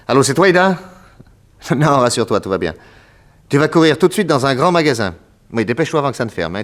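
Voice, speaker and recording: An actor playing a shrewd French detective, smooth, probing and brisk, in a 1960s radio serial. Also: male voice, mid-range voice, lightly acted.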